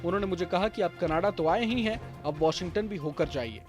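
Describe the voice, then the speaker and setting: High-pitched male news narrator over music. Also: a little fast. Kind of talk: scripted Hindi news voice-over. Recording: music bed under voice. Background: prominent background music.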